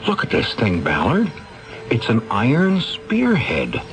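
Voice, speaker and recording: Animated, earnest researcher. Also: male voice, medium pitch, measured pace, soft background music, low-quality recording. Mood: urgent, persuasive. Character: eager, deferential male metals researcher pitching a discovery. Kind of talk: 1970s radio drama acting.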